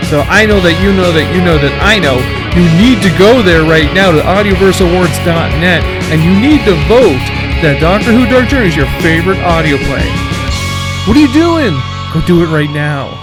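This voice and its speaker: A man hosting a podcast delivers a playful, emphatic promotional pitch, urging listeners on. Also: expressive, normal speed. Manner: exhorting, emphatic. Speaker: podcast host reading a promo. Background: loud plucked guitar music.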